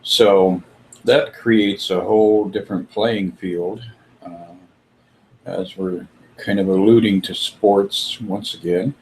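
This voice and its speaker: A man hosting a spiritual discussion, talking in a slow, musing way with frequent pauses. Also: sits low, fairly even intonation, a little hiss or hum, rough recording.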